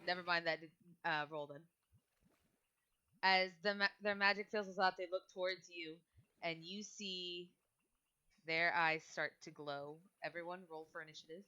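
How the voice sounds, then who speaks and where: Bright, crisp-voiced woman game master. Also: on the low side, fairly even intonation, moderate pace, long pauses, lo-fi sound. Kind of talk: casual tabletop game narration and rules talk.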